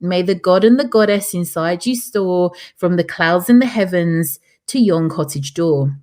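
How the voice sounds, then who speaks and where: A woman's voice: lowish voice, a little slow, darkish tone, lightly acted. Regal winter spirit chanting, read by a woman. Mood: tender. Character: regal old female winter spirit serenading birds.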